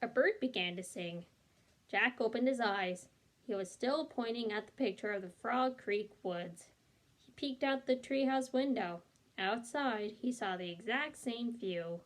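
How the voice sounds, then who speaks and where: A woman reading a children's story aloud as a storyteller, in an animated way that acts out the dialogue. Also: a little high, measured pace, poor audio. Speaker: read-along storyteller.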